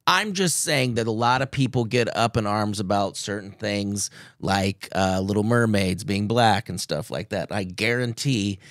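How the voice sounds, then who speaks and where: A man's voice: lowish voice, unhurried, few pauses, a bit bright, dry recording, clean studio sound. A young adult man co-hosting a casual entertainment chat show, joking and tripping over his words as he reads the news. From Nebraska. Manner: joking, stumbling restarts, playful. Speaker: co-host.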